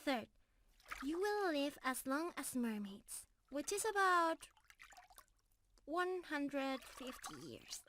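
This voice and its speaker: A woman's voice: high-pitched, expressive, takes it slowly, a little hiss or hum, heavily acted. A woman playing a shy, sweet young mermaid in love, speaking earnestly to one listener in an ASMR romance roleplay. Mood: gentle, explaining. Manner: earnest, bashful, explaining gently to one listener.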